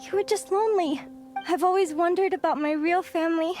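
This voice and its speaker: Hopeful little sister, very high voice, earnest, cheerful, chatty game heroine, soft music behind. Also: female voice, fairly even intonation, brisk. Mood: tender, teasing. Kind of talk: video game character dialogue.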